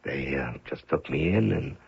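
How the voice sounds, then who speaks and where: An American man acting in a radio mystery drama as a hired killer posing as a long-lost son, speaking hesitantly with a gravelly, husky voice. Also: rumbling low, lively intonation, exaggerated voice. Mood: halting, earnest. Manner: hesitant, halting delivery. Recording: dull lo-fi old radio recording.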